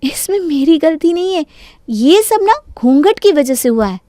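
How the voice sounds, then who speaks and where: Woman acting a high-voiced, meek young bride. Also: expressive, moderate pace, dark tone, dry recording, studio quality. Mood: meek, apologetic. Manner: pleading, apologetic, high-pitched girlish delivery. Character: young modern Indian bride, meek outside, determined and dramatic. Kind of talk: Hindi cartoon story dialogue.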